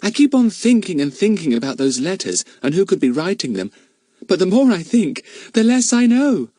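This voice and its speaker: A man voicing a young girl who speaks in a gentle, musing way. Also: high-pitched, big pitch swings, normal speed, no room sound, clean studio sound, pitched above the performer's own voice.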